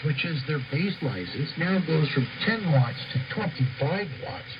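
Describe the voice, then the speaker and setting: A man presenting ham radio news over shortwave, reading from a script with chatty asides in an enthusiastic, emphatic manner. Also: a little high. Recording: noisy, muffled shortwave radio broadcast.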